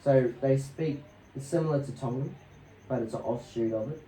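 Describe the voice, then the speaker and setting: Mellow young man telling stories, roomy echo. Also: medium pitch, moderate pace. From New Zealand and Australia. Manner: laid-back, slangy, swearing. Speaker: podcast guest. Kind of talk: casual rambling storytelling. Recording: roomy echo, distant mic, faint noise.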